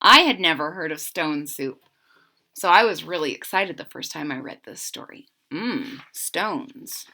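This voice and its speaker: A woman reading a picture book aloud to children, with an expressive voice and questions asked straight to her young listeners. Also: deep voice, unhurried.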